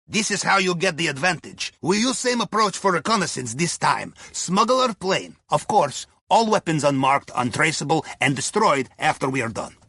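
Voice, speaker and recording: Raspy, high-pitched Russian heist planner. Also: male voice, normal speed, few pauses, no room sound, studio quality, exaggerated voice. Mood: businesslike, helpful. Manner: deadpan wry humor, clipped broken English without articles. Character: wry, upbeat Russian submarine captain's aide and heist planner. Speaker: mission guide character in a video game. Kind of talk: scripted video game mission dialogue.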